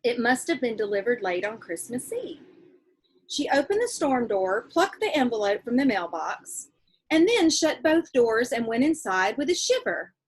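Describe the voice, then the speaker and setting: A woman reading a children's story aloud like a teacher, expressively, pausing to explain and check in with her young listeners. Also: fairly high pitch, very animated, husky, low-quality recording.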